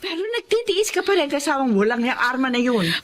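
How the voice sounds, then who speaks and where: A woman's voice: high voice, sing-song, a little fast. A woman playing an exasperated, protective friend who scolds, in a radio melodrama. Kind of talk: melodramatic radio drama acting.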